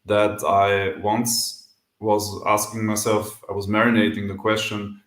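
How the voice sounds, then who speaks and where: A man's voice: fairly low pitch, level tone, unhurried, fairly bright tone. Reflective Austrian man, roomy sound. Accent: Austrian German. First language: German. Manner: thoughtful, candid, hesitant with fillers. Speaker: podcast guest. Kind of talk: casual interview chat.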